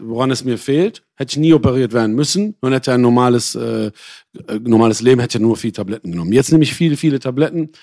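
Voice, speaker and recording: A man from Iran who grew up in Germany tells his story as an interview guest. He speaks casually and colloquially, often digressing and correcting himself. His mid-pitched voice is recorded dry, with very little room sound. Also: creaky, a little mellow, no echo. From born in Iran, grew up in Germany.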